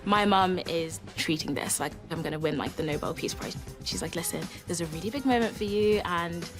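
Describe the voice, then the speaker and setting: A woman's voice: lowish voice, brisk, over music. Young woman from Zimbabwe, chatty contestant. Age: late teens. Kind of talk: casual interview.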